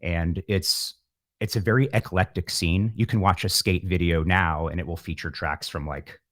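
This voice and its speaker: Man, deep pitch, dry recording, casual, musing, long-winded. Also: dry recording. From San Francisco Bay Area, California. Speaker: guest, musician. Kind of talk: casual interview talk. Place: home setup, remote call.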